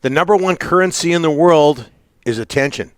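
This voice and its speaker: A man's voice: a little high, fairly quick, clear, resonant tone, dry recording, clean studio sound. A man hosting a radio sports show, teasing his guest in an easygoing way. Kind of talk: casual radio chat.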